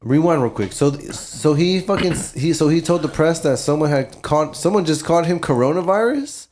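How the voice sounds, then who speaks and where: A man's voice: fairly high pitch, animated, normal speed, darkish tone, a little hiss or hum. A man co-hosting a podcast, rambling in casual chat and hedging his opinions. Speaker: podcast co-host.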